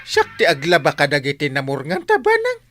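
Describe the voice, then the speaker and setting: An actor with a Filipino Ilocano accent playing a bumbling, whining henpecked village captain in a radio comedy, stammering and exclaiming. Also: male voice, on the high side, wildly swooping pitch, a little fast, music in the background. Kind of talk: comedic radio drama acting.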